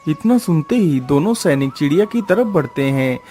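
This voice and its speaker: A man's voice: fairly high pitch, expressive, moderate pace, mellow, faint music behind. A man reading scripted narration for a children's cartoon story.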